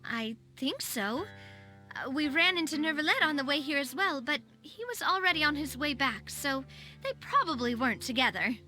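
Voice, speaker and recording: A woman playing a chatty, polite, curious young woman in a video game, with a chirpy and expressive delivery. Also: high-pitched, moderate pace. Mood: casual, informative. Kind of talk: animated video game voice acting. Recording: faint background music.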